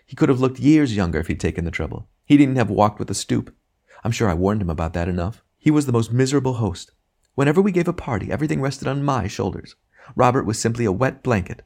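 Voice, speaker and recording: A man's voice: mid-range voice, lively intonation, fast, creaky, darkish tone, pitched above the performer's own voice. A man voicing the ghost of a domineering wife, complaining and self-righteous in an audiobook reading.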